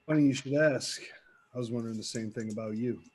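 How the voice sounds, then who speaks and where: A man's voice: medium pitch, quick pace, darkish tone, close to an everyday voice. An actor playing a wary, accusing man at a script table read, understated and pointed, simmering underneath. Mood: suspicious. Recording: background room noise.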